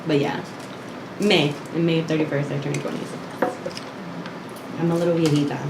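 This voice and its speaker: A woman's voice: low voice, level tone, moderate pace, background noise. Giggly woman in her twenties, roomy echo. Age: 20s. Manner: giggly, playful, casual slang. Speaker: YouTube host. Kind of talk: casual chat. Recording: roomy indoor echo with faint ambience.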